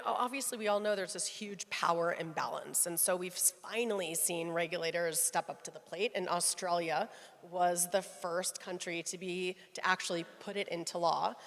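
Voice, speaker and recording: A woman's voice: fairly low pitch, fairly bright tone. Woman moderating a panel in an echoey hall. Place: conference hall.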